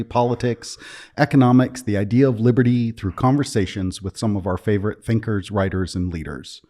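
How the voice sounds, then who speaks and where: A man's voice: on the low side, warm tone. A man hosting a podcast, reading a friendly scripted intro and then chatting casually with his guest, with some ums. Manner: friendly, reads promos crisply, then loose with fillers. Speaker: podcast host.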